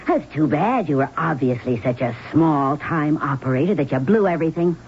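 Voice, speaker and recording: A woman's voice: sits low, animated, moderate pace. An actress playing a bitter, cutting American wife in a 1970s radio drama, dismissive of her gambler husband. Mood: scornful, cold. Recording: noisy, dull vintage radio broadcast.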